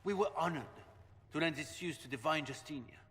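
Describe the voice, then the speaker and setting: An actor playing a proud, indignant marquis in a video game, pompous and formal. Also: male voice, fairly high pitch, brisk, fairly bright tone, long reverb tail, lightly acted. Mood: haughty indignation. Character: haughty, indignant male marquis, proud landowner. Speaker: nobleman. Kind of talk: dramatic video game voice acting.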